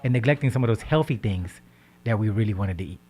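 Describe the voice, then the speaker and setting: A man hosting a radio talk show, giving casual diet advice. He speaks with lively energy, repeats words, and keeps checking in with "right?". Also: lowish voice, fast. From Haitian background. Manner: animated, repeats words, asks "right?" often.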